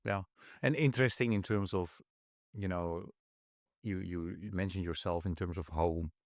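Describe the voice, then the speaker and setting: Host: middle-aged man, very deep, gravelly, relaxed interviewer, dry close mic. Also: moderate pace, no room sound. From Netherlands. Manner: easygoing, with short backchannels. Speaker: podcast host. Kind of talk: casual interview.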